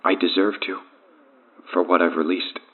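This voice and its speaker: A man's voice: sits low, level tone, moderate pace, natural voice. An actor playing a doomed, guilt-ridden man enslaved by an evil power, confessing fatalistically in an audio horror drama. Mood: grim, resigned. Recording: tinny, band-limited phone line.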